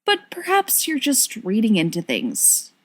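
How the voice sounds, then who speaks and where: A woman's voice: a little high, huge pitch swings, unhurried, slightly rough, no room sound, heavily acted. A woman voicing a distracted, dryly teasing young man in audiobook-style dialogue. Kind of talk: audiobook-style dialogue reading of fan fiction.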